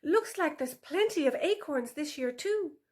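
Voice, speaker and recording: A woman's voice: high-pitched, expressive, a little slow, darkish tone, voice pushed up. A woman voicing an adult female fox in a children's animal story, anxiously polite and placating, with forced cheerfulness. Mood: falsely cheerful, appeasing.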